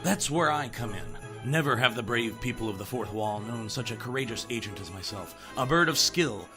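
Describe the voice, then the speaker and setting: A man's voice: medium pitch, animated, normal speed, music in the background, natural voice. A man voicing a boastful, sarcastic bird who narrates a comic audio drama in a flippant, theatrical way. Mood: cocky, theatrical.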